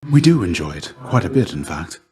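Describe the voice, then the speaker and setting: A middle-aged man acting a video game role, a gentle, weary warrior shade and mentor, speaking in a deep, soft-edged voice with measured, courteous, old-fashioned formality. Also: sing-song. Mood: warm, humble.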